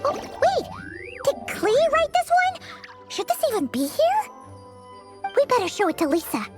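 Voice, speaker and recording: Game fairy sidekick: extremely high, squeaky child voice, wildly animated, curious, music behind. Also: female voice, measured pace, heavily acted. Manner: bubbly, inquisitive. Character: tiny chirpy childlike fairy companion, curious and excitable. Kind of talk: video game voice acting.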